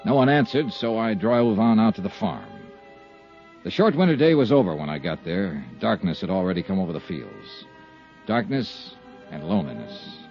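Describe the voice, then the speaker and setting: A man's voice: fairly low pitch, big pitch swings, moderate pace, gravelly, soft background music. A man playing a hard-boiled insurance investigator who narrates an old radio detective drama in a firm, pressing way. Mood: narrating. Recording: dull old radio recording.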